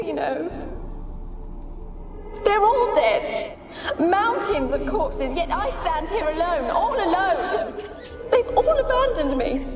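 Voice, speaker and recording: An actress playing the lonely, haunted ghost of a young noblewoman in a video game, with a plaintive, dramatic delivery. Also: high-pitched. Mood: bitter, desolate. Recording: loud music under the voice. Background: game soundtrack music.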